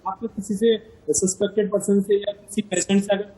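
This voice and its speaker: A man's voice: sits high, little pitch movement, brisk, mellow, low-quality recording. A man speaking as a doctor on a live TV health show, explaining in a hesitant way with many fillers and restarts. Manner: explanatory, lists symptoms. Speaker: doctor guest.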